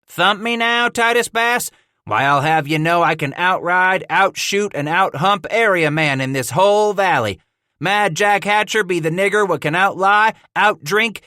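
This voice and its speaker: A man's voice: sits high, pushed and bright, no echo, clean studio sound, pitched above the performer's own voice, exaggerated voice. A man voicing a boisterous frontier trapper who brags and bellows in a thick backwoods drawl. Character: boisterous rowdy male mountain man, loud braggart.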